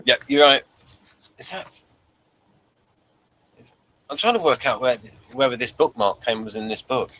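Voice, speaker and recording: Forceful bright-voiced man hosting a review. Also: mid-range voice, lively intonation, rough recording. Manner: halting, rambling, thinks aloud. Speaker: podcast host. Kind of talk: casual episode review, reading a plot summary aloud.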